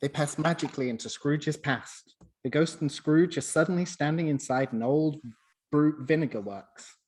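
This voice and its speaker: A man's voice: on the high side, moderate pace, darkish tone. A man reading scripted dramatic narration for a radio play.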